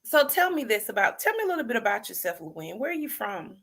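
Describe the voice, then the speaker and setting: A middle-aged woman, hosting a lively, chatty livestream interview and playing to her viewers. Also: fairly high pitch, fast talker. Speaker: host.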